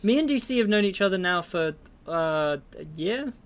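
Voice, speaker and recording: A man's voice: sits high, lively intonation, normal speed, a loud crowd. A man in his twenties hosting a podcast, chatting and joking, laughing often. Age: 20s. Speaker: podcast host. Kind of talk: casual chat.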